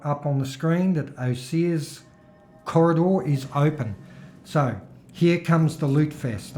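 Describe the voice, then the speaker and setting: A man's voice: medium pitch, a little mellow, roomy sound, a little hiss or hum. A middle-aged man gives rambling, casual commentary over his gameplay, his throat still a little worn. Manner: rambling, chatty, with filler-heavy restarts. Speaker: gaming video host.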